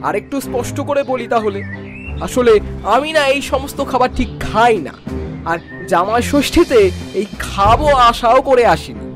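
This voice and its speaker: A man's voice: extremely high pitch, moderate pace, over music. A man voicing a haughty, scornful young son-in-law in a cartoon, with a sneering, condescending manner. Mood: condescending refusal. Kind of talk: Bengali cartoon voice acting.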